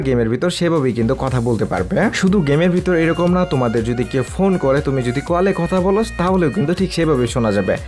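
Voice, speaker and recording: Brisk, high-pitched Bangladeshi man over music. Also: a little mellow. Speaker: tutorial video creator. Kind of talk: scripted how-to voice-over with app plug.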